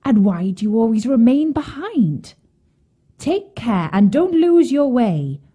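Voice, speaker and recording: A woman voicing a mild, watchful father gently admonishing his children in a fairy tale. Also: fairly high pitch, sing-song, slow pace, breathy edge, voice pushed up, exaggerated voice.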